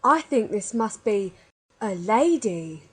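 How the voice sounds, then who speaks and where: A woman plays a timid young lost boy in a storybook. The voice is husky and unclear at the edges, with slow, careful pacing. The boy sounds easily frightened. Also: medium pitch, sing-song, a bit bright, heavily acted. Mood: scared awe. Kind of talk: audiobook character reading.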